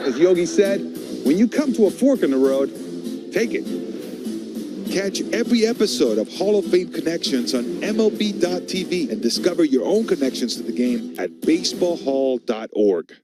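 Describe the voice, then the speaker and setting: High-pitched American male promo narrator over music. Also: sing-song, normal speed. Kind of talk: scripted TV series promo narration. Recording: loud music under voice-over. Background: loud music.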